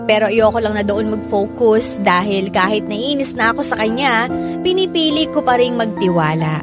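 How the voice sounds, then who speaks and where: A woman's voice: fairly high pitch, animated, moderate pace, lightly acted. A woman playing a troubled wife confiding her doubts in a reflective, sorrowful radio drama monologue. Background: prominent background music.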